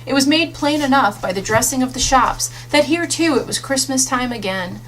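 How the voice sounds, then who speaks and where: A woman's voice: high-pitched, lively intonation, normal speed, a bit bright. A woman reading a literary story aloud as an audiobook narrator, in a scripted storytelling style. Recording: faintly noisy audiobook recording.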